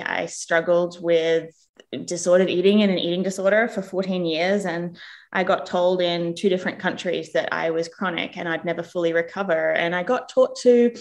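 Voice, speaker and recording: Earnest woman with a mixed Australian-New Zealand accent. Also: on the low side. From England, raised in Australia. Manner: earnest and animated, acts out quoted people. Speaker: guest. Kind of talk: personal story in a podcast interview.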